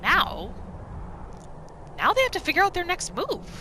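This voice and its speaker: A woman hosting a gaming channel, giving expressive roleplay commentary and reacting aloud as she plays. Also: very high-pitched, measured pace, a little hiss or hum. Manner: expressive, reacts aloud, talks to the game characters. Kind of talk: video game roleplay commentary.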